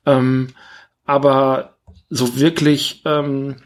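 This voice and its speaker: Male podcast co-host, mid-pitched, loose and rambling conversational review style. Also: level tone, extremely slow. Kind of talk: casual film review chat.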